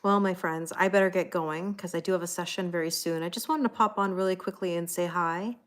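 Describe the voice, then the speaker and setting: Middle-aged lady doing a tarot live stream, lowish voice, chatty, thanks everybody by name. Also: fairly even intonation, a little fast. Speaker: tarot reader hosting a livestream. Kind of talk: casual livestream chat, greeting viewers.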